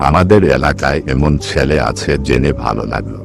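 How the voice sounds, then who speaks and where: A man voices a gruff village shopkeeper for a cartoon. The voice is extremely deep and heavily gravelled, with clear music behind. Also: expressive, a little fast, full character voice. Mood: impressed. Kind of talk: cartoon dialogue.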